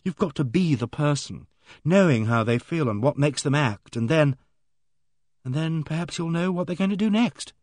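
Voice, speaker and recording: A man voicing a young woman who speaks softly and earnestly. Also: on the high side, lively intonation, moderate pace, dry recording, voice pushed up, lightly acted.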